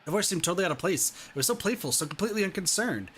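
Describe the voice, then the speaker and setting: A man's voice: high voice, lively intonation, rapid-fire, background noise. A man reading a visual novel aloud expressively as narrator and protagonist in a gaming video.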